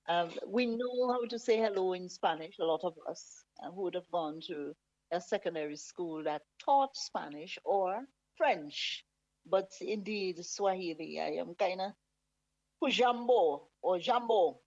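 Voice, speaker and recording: A woman hosting a talk show, playful and unhurried as she reads listener comments aloud. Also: mid-range voice, darkish tone. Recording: dull lo-fi dry sound.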